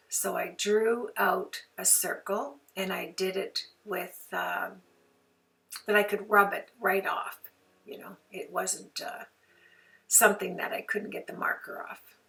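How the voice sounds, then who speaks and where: A hoarse woman talks through a craft tutorial in a chatty, folksy way. Her voice has a gravelly fry under a bright, sharp edge, and she sits a little back from the mic. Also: mid-range voice, recorded from a distance, rough recording. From Canada. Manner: chatty, folksy, homey phrases. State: hoarse from flu. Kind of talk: card-making tutorial narration.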